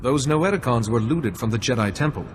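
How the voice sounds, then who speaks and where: An actor playing a resolute young male Jedi apprentice in a video game, speaking in a measured, earnest way. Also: medium pitch, expressive, music in the background, natural voice.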